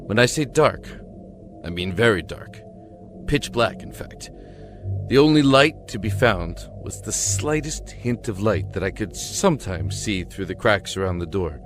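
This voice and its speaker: A man narrating a scripted first-person dramatic story with deliberate, somber phrasing. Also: on the low side, big pitch swings. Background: music.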